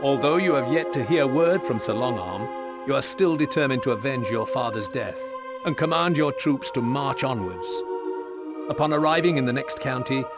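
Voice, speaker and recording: A man giving formal, measured, serious scripted narration for a medieval war game briefing. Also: mid-range voice, lively intonation, loud music behind the voice, close to an everyday voice.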